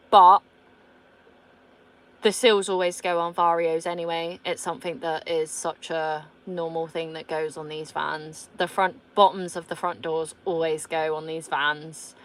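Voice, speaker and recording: A woman's voice: lowish voice, a bit bright, low-quality recording. Blunt, sweary British woman in her thirties. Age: 30s. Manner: blunt, swears freely, laughs often. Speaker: vlogger. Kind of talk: casual chat reading viewer comments.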